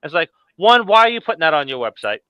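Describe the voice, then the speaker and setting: A man's voice: a little high, sing-song, quick pace, bright, forceful tone, clear, resonant tone, dry recording, poor audio. A man hosting a casual live talk show, telling stories informally and acting out quotes as he goes. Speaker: podcast host.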